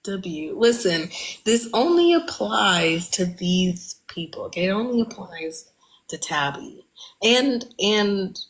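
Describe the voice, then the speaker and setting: Slow-talking young woman, low-energy. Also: animated, poor audio. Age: 20s. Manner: drowsy, complaining, drifts into soft whispers. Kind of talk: casual chat.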